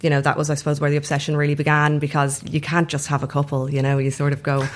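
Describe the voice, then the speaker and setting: A woman's voice: sits low, completely flat delivery, fast talker. A woman with an Irish accent chatting casually as an interview guest, telling stories in a chatty way and acting out bits of dialogue. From Ireland. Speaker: guest, snake performer.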